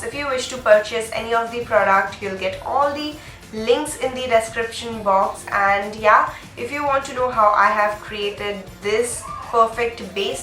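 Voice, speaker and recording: A woman's voice: on the high side, fairly bright tone. A young woman with a cold talks through a makeup routine, her voice nasal and a bit stuffed up. She explains each step in a chatty way. The room is echoey, and faint music plays under her. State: sick with a cold. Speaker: beauty vlogger. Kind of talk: makeup tutorial talk.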